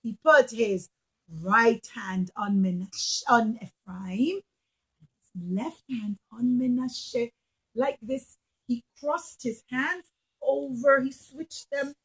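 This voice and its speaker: Bright, high-voiced woman telling children stories. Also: slow, husky, poor audio. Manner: simple, emphatic, asks the listeners questions. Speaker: children's teacher and storyteller. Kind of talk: animated Bible storytelling to children.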